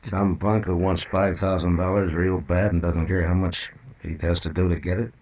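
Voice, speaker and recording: An actor playing a weary, authoritative Old West marshal in a radio western, with terse, dry delivery. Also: male voice, low voice, level tone, moderate pace, a little mellow. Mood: grim. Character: stoic, weary, authoritative frontier town marshal. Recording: noisy vintage radio drama recording.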